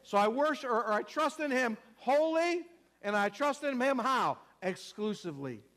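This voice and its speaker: A man with a notably high voice delivers a sermon with strong emphasis. He repeats his key phrases and puts questions to his listeners. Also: animated, normal speed, fairly bright tone. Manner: emphatic, repetitive, asks the congregation questions. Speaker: preacher.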